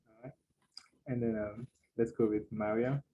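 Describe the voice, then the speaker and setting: A man's voice: mid-range voice, steady tone, normal speed, phone-quality sound, a little hiss or hum. A young man hosting a panel webinar, speaking steadily with frequent fillers over a video call. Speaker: webinar host and council president.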